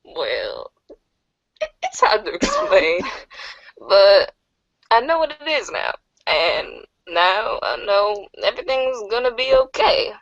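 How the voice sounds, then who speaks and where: Casting: woman to play evasive, teasing girl confidante for amateur webcomic drama; slow, expressive delivery, muffled sound. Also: medium pitch, gravelly, dry recording, heavily acted. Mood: hesitant, reassuring. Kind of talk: amateur reader's theater of a webcomic.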